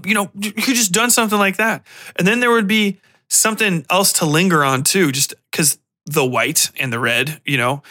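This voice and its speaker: A man hosting a podcast, giving a casual, animated and opinionated film rant in slangy, profane language. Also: fairly high pitch. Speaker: podcast host.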